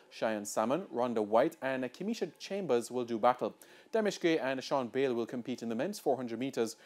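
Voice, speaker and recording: A man's voice: mid-range voice, darkish tone. A man with a Jamaican accent presenting a scripted sports report as a news broadcaster.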